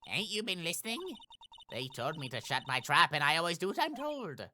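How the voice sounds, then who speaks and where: A man's voice: fairly high pitch, sing-song, a little fast, pushed and bright, slight background noise, heavily acted. A man voicing a nagging, gossipy, indignant old woman witness in a video game, huffy and rambling as they scold. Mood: huffy confiding.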